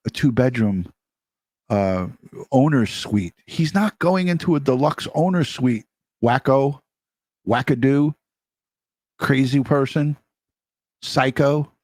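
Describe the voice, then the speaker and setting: A man's voice: mid-range voice, lively intonation, takes it slowly, pauses often, darkish tone, no room sound. A man hosting a commentary show, speaking off the cuff in a scornful, sarcastic way. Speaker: show host commenting on a recorded call.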